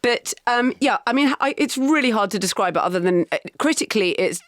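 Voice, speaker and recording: A woman co-hosting a podcast in casual chat. She talks in animated bursts, full of restarts and quick asides. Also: on the high side, no room sound, studio quality. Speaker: podcast co-host.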